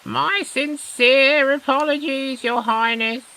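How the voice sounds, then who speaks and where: A woman's voice: sits high, steady tone, takes it slowly, sharp tone, heavily acted, low-quality recording. A woman playing a courteous talking crow in an audio play, speaking formally and deferentially.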